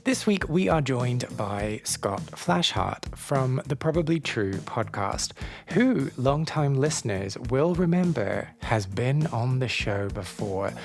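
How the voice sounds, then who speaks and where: A podcast host opening the show with a scripted intro, then chatting casually, dropping wry self-deprecating asides. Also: medium pitch.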